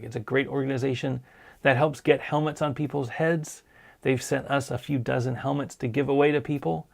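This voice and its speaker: A man hosting a casual live-stream show, hoarse from a sinus cold, talking in an earnest, encouraging way. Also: mid-range voice. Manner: earnest, encouraging, plain-spoken. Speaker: podcast host.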